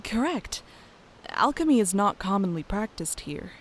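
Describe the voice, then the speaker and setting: A woman's voice: medium pitch, sing-song, unhurried. A woman playing a curious, open young druid princess in a fantasy audio drama. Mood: earnest, curious. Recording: clear background hiss.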